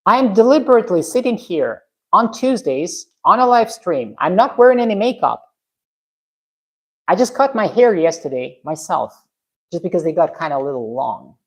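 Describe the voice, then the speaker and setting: Blunt low-voiced woman. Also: animated, normal speed, slightly rough. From Russia. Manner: frank, blunt, restarts often. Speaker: livestream host. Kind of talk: casual live stream chat, reading comments. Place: home garage.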